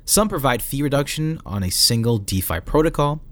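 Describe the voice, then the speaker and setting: A man's voice: medium pitch, lively intonation, brisk. A man reading a scripted article as narrator, with playful, theatrical emphasis.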